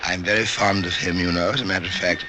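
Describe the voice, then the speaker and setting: An actor playing a scheming, murderous doctor with smooth politeness and a measured, hesitant manner in an old-time radio drama. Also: male voice, low voice, fairly even intonation, background noise, lightly acted. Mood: ingratiating, polite. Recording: old radio recording with music behind.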